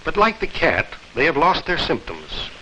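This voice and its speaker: A man reads scripted 1940s training film narration in an explanatory manner. His pitch moves in a lively way over a clearly noisy, crackly old soundtrack. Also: moderate pace, lo-fi sound. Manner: explanatory, didactic. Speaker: narrator, medical officer.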